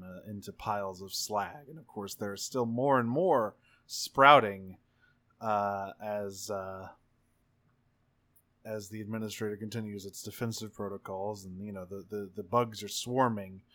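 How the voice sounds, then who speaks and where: A man narrating improvised action in a tabletop roleplaying game, halting as he thinks aloud, with dramatic flourishes. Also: fairly low pitch, fairly bright tone. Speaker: tabletop game player narrating his character.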